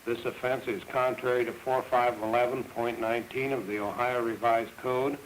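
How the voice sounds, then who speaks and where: A man's voice: mid-range voice, steady tone, moderate pace, low-quality recording. A man speaking as a judge in a stern, official, formal courtroom manner. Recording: vintage film sound, faint noise.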